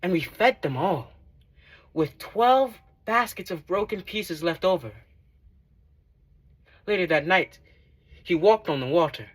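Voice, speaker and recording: A man playing a devout former tax collector in a dramatic monologue, giving earnest, measured testimony. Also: sits high, lively intonation, husky, pitched above the performer's own voice, heavily acted, rough recording. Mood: fervent, awed. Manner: earnest, measured, emphatic storytelling.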